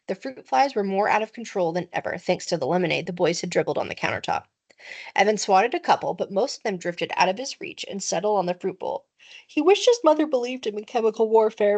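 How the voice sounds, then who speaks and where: Animated woman reading a children's story. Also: medium pitch. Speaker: teacher reading aloud. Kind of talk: expressive children's storybook narration.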